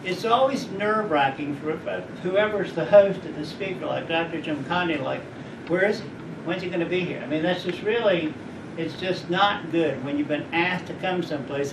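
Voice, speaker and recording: A middle-aged man with a high voice giving an informal, rambling talk to students and asking them questions. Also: fairly quick. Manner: rambling, anecdotal, asks the audience questions. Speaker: guest speaker. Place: college lecture room. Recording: echoey room, distant mic, background noise.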